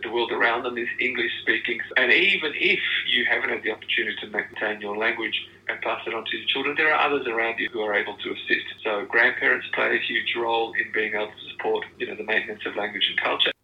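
Very bright man in dull echoey recording. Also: mid-range voice, rapid-fire, hardly any pauses. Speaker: linguist interviewee. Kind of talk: explanatory interview talk.

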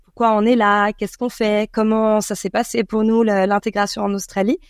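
A woman from France with a French accent, answering interview questions conversationally and thoughtfully, often pausing mid-sentence. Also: mid-range voice, flat delivery, clear, resonant tone, no room sound, clean studio sound. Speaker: podcast creator being interviewed.

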